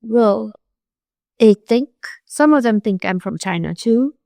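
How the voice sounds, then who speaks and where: A woman's voice: fairly high pitch, animated, measured pace, creaky, dark tone, no echo, studio quality. Malaysian woman chatting on a podcast. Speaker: podcast guest, university staff member. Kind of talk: casual conversation.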